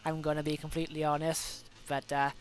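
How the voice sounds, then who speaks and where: A man's voice: on the high side, monotone, fairly quick, soft background music. A young Welsh man with a cold coming on, chatting casually through his racing game laps with plenty of fillers. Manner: chatty, self-correcting, many fillers. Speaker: gaming video host. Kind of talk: casual gameplay commentary.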